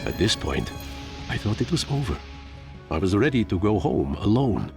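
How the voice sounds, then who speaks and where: Game lead: pompous, brooding little inventor creature, very low pitch, wildly swooping melodramatic delivery. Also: male voice, big pitch swings, moderate pace, vocal fry, music in the background. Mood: melancholy. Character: Leopold, fluffy wealthy engineer creature, self-pitying, stubborn, theatrical. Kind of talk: dramatic first-person game narration.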